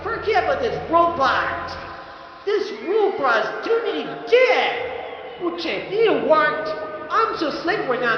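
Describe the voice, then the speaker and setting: A speaker run through a pitched-up cranky kitten voice filter, rambling and scolding with frequent shouts in a home recording. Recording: echoey lo-fi room with noise.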